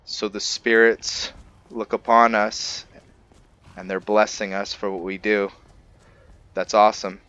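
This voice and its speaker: A man's voice: lowish voice, little pitch movement, unhurried, long pauses, a bit bright, clean tone, dry recording, highs cut off. A man giving casual commentary as he plays a video game, musing aloud in a laid-back way. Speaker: host of a video game roleplay series. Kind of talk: casual let's-play commentary.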